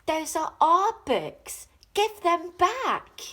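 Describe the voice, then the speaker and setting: A woman voicing an earnest, excitable young schoolboy in a children's story, given to exclaiming. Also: extremely high pitch, very slow, slight background noise, voice pushed up, heavily acted. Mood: indignant.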